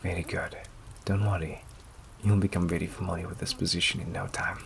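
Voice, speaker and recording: Man acting a deep, gravelly, possessive vampire. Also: a little hiss or hum. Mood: commanding, pleased. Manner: slow, silky, often breathy near-whisper, condescending endearments. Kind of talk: ASMR roleplay acting. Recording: steady rain ambience behind the voice. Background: rain.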